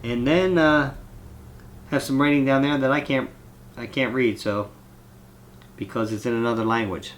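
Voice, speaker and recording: Congested male vlogger with a cold, mid-pitched, rambling and chatty. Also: fairly even intonation, normal speed, long pauses, slight background noise. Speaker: vlogger reading fan mail. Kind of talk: casual chat.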